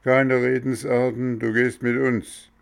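A man's voice: medium pitch, level tone, takes it slowly, creaky, clear, resonant tone, pitched above the performer's own voice. A man voicing stern male gendarmes who bark commands. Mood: stern commanding. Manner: barking, commanding.

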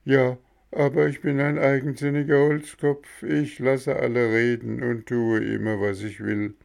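A man voicing a frightened, stubborn wooden puppet boy, wailing and pleading in a high, strained voice. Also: gravelly, darkish tone.

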